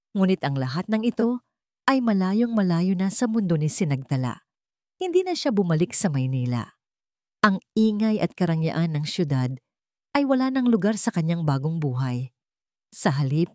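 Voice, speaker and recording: Lady narrating a drama story, lowish voice, pitch moves around a lot, close dry sound. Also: darkish tone, no room sound.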